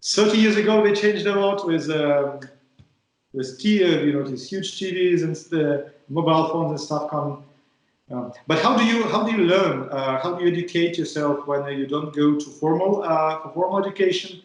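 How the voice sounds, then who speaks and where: Host, male, Russian accent, fairly high voice, stop-start phrasing, very echoey room. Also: moderate pace. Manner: hesitant, repeats words while searching for phrasing. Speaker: podcast host. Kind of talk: interviewing a guest over a call.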